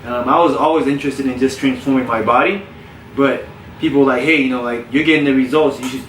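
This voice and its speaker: A man who grew up in South Florida, a personal trainer telling his story in a relaxed, candid way with frequent restarts. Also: mid-range voice, fast, slight background noise. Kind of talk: casual podcast interview. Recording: roomy, distant mic with faint ambience.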